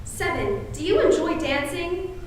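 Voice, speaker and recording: Young woman acting fussy, very high-pitched genteel matron. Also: animated, unhurried. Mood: coaxing, instructive. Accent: American. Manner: sugary, mannered, coaxing, flustered exclamations. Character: fussy, genteel Southern matron aunt, cajoling and easily flustered. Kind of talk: high school stage play acting. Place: indoor school stage, filmed performance. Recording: echoey hall, distant camera, faint noise.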